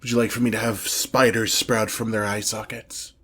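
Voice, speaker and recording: A man playing an imperious ancient demon lord in an ASMR fantasy roleplay, speaking in a pompous, theatrical, drawn-out manner, menacing and easily exasperated by mortals. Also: on the low side, flat delivery, bright, forceful tone, husky. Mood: intrigued, imperious.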